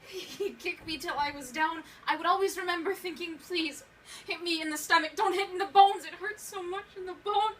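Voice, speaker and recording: A woman acting a haunted young survivor of abuse in a dramatic stage monologue, halting and emotional, repeating words for emphasis. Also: a little high, steady tone, a bit bright. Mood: anguished. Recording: distant mic, clearly noisy room recording.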